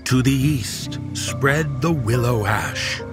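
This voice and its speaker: A man playing an ominous spirit in a radio horror drama, solemnly intoning ritual rhymes in a gravelly voice. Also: mid-range voice, music in the background, natural voice. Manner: solemn, incantatory, deliberate. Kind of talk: old time radio horror acting.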